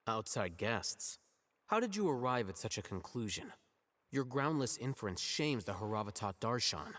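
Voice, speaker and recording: An actor playing an aloof, intellectual young male scholar in a video game, with a deadpan, condescending, measured delivery. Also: on the low side, in a large hall. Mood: cool, dismissive. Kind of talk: dramatic game character acting.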